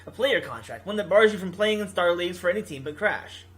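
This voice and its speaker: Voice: mid-range voice, lively intonation, fast, fairly bright tone, natural voice. A video game reader voicing a bold, driven woman who leads a team with teasing authority. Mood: persuasive.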